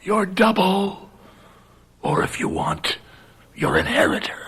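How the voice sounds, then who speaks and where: An actor in a radio horror drama playing an ancient, cursed wandering ghost, menacing and solemn, with a husky, whispery voice and portentous delivery. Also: male voice, high-pitched, huge pitch swings, a bit bright, exaggerated voice. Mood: eerie. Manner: slow, portentous, formal.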